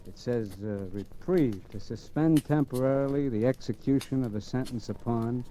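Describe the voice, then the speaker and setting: An actor playing a weary working-class condemned prisoner in a 1940s radio drama, talking plainly and haltingly as he confesses. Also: medium pitch, warm tone, slight background noise, lightly acted. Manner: brooding, halting, plain-spoken. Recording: muffled old radio recording with music behind.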